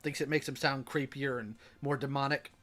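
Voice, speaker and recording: A man's voice: mid-range voice, steady tone, brisk, fairly bright tone. A man hosting mocking reaction commentary, speaking in a sarcastic, scornful way. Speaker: commentary host.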